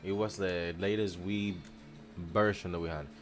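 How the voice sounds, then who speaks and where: A man's voice: low voice, fairly even intonation, unhurried. A man from the Dominican Republic chatting casually on a live stream, thanking viewers in a slangy, laid-back way. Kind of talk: casual live chat reacting to a video.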